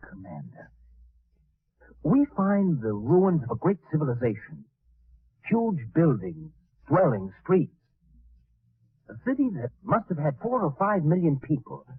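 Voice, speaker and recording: An anxious male spaceship crewman, full of hesitant wonder, in old radio drama style. The voice is very husky and swings widely in pitch. It comes through a tinny vintage broadcast. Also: sing-song, a little slow, pauses often, dry recording, exaggerated voice. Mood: awed, puzzled. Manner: nervous, hesitant, breathless wonder.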